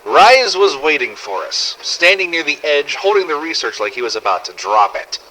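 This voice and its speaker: A man's voice: on the high side, big pitch swings, moderate pace, sharp tone, poor audio. A man narrating a scripted first-person survival story in character, with a dramatic, gritty delivery and some swearing. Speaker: narrator of fan-made game audio logs.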